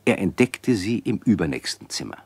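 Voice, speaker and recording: A man's voice: low voice, big pitch swings, normal speed, slightly rough, no room sound. A man narrating a children's radio play as a scripted storyteller.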